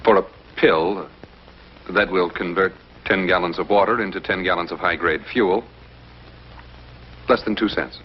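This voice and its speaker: A man's voice: low-pitched, unhurried, long pauses. A man playing a self-assured, unflappable inventor in an old TV drama, terse and matter-of-fact. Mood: cool, confident. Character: self-assured, unflappable male inventor selling a secret formula. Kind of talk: mid-century American TV drama acting. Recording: dull, noisy vintage TV soundtrack.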